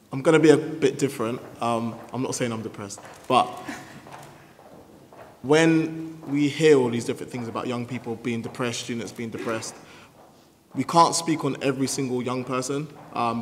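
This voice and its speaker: A man's voice: medium pitch, steady tone, moderate pace. A man in his twenties speaking on a youth panel, upbeat with frequent ums. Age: 20s. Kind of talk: live panel discussion. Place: conference room. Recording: hall reverb with faint noise.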